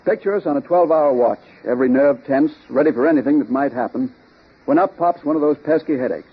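A man's voice: fairly high pitch, quick pace, husky. An actor playing an earnest working-man guard giving a testimonial in an old radio advertisement. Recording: dull old radio recording.

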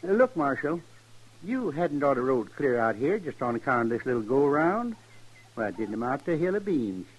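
An actor playing a meek, patient homesteader with a mild, evasive, rustic manner in an old radio western. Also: male voice, medium pitch, very animated, moderate pace, muffled recording. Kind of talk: 1950s radio western acting.

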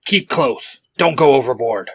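A man's voice: mid-range voice, expressive, measured pace, breathy edge. A man voicing a stern, bossy young male vigilante who gives orders and gets exasperated.

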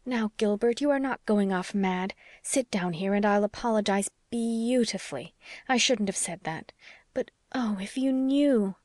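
A woman's voice: medium pitch, a little slow, a little mellow, no echo, voice pushed up, lightly acted. A woman voicing a passionate, protective young wife who argues in an emphatic, pleading way, as in an audiobook. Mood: contrite, coaxing. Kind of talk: audiobook character dialogue.

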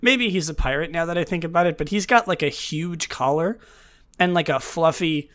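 Raspy, groggy young man, slightly high. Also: animated, moderate pace, fairly bright tone. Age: young adult. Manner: rambling, many fillers and restarts. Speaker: podcast host. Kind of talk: casual news podcast.

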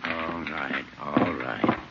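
An actor playing a dry, slyly teasing old druggist in 1950s radio comedy, hesitant and folksy. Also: male voice, very deep voice, steady tone, extremely slow, full character voice. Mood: dryly teasing. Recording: muffled, noisy 1950s radio recording.

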